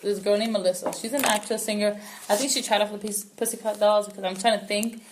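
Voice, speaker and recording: Young New woman, lively casual chat. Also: mid-range voice, quick pace, noisy background. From New York. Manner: animated storytelling, laughs often. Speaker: podcast co-host.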